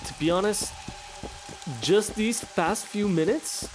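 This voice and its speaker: A man giving casual let's play commentary, thinking aloud in a halting way. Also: high voice, background noise.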